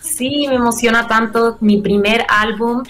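A woman's voice: fairly high pitch, steady tone, unhurried, fairly bright tone, faint music behind. A young woman with a Puerto Rican accent and English as her first language, speaking earnestly and expressively as a singer-songwriter guest in a casual radio interview. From Puerto Rico.

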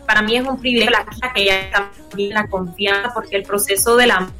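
A woman's voice: mid-range voice, fairly even intonation, brisk, pushed and bright, soft background music. A young woman with a Puerto Rican accent and English as her first language, speaking earnestly and expressively as a singer-songwriter guest in a casual radio interview. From Puerto Rico.

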